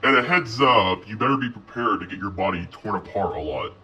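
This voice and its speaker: A man's voice: on the low side, animated, fairly quick, pushed and bright, distant mic. A man voicing a sinister, gloating old man in a horror story. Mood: gloating, cheerful menace.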